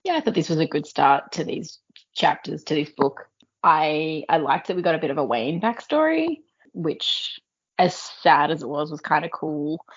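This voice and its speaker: A woman's voice: low-pitched, muffled recording. A woman giving her take as a panelist in a casual book discussion, informal and appreciative. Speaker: podcast panelist.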